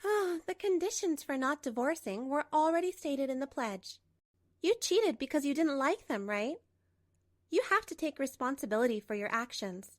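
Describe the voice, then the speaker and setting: A woman playing a composed betrayed wife in a manga dub, narrating and speaking with measured, pointed delivery. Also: high voice, expressive, no echo, clean studio sound. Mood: coolly sarcastic. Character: betrayed wife, composed, coolly resolute, narrating her story.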